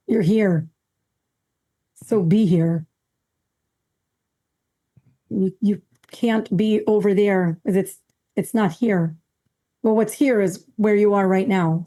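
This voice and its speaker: A woman co-hosting a podcast, calm and curious, speaking in short reflective phrases. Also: medium pitch. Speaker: podcast co-host. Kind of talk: casual conversation.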